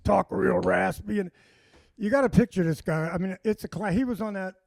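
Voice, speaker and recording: A man's voice: high-pitched, fairly quick, gravelly, no room sound, clean studio sound. A man telling comedy stories in casual chat, acting out what people said. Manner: animated, acts out quotes. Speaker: comedian guest. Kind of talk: casual storytelling.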